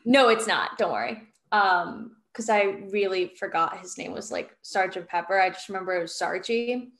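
A woman's voice: medium pitch, expressive, moderate pace, a bit bright, roomy sound. A woman co-host running a playful quiz segment over a video call, giggling as she talks. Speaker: podcast co-host. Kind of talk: casual quiz chat.

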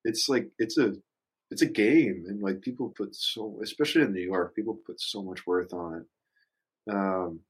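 A man chatting casually as a musician guest on a podcast, thinking out loud in a rambling, hesitant, reflective way and swearing casually. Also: fairly low pitch, normal speed, breathy edge.

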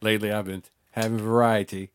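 A man's voice: on the low side, level tone, a little fast, smooth. A man co-hosting a casual podcast, joking and telling stories, sometimes acting out the voices of people in them. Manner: jokey, storytelling, acting out voices. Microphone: studio microphone.

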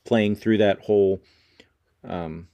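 A man's voice: on the low side, steady tone, slow, warm tone, no room sound. A man in his fifties hosting a solo podcast, talking conversationally and correcting himself as he thinks aloud. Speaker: podcast host.

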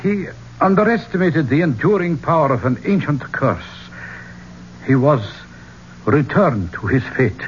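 A man's voice: a little high, animated, a little slow. An actor playing a solemn, mystical man who pronounces curses, portentous and formal, in a 1970s radio drama. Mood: solemn, ominous. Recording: muffled, noisy old radio recording.

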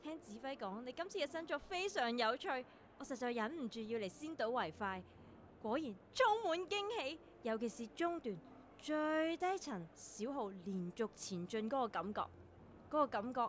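A woman's voice: a little high, expressive, normal speed, slight background noise, close to an everyday voice. An actress playing a polished, condescending female music director in a radio drama. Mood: suave, appraising. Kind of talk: Cantonese radio drama acting.

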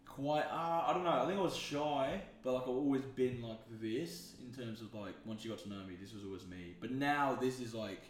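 A man's voice: medium pitch, normal speed, hardly any pauses, a little hiss or hum. Give me a young man as a sweary podcast host. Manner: swears freely, rambling storytelling. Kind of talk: casual chat. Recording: echoey room.

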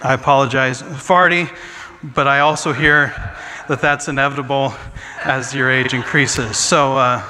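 A man's voice: medium pitch, steady tone, a little slow, pushed and bright. A man in his forties, a pastor, giving a joking, self-deprecating sermon with chatty asides. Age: 40s. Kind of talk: humorous sermon talk. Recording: long reverb in a large hall.

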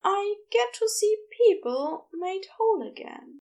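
A woman voicing a gentle, earnest, bashful man with tender, hesitant phrasing in an audiobook. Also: very high-pitched, lively intonation, a little slow, voice pushed up, exaggerated voice. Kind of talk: audiobook character dialogue.

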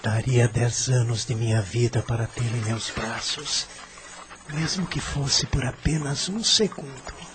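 An actor playing a young, lovestruck coach servant who sounds earnest and flustered, with faint music behind. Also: male voice, medium pitch, unhurried, background noise, lightly acted. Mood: adoring. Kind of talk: radio drama acting.

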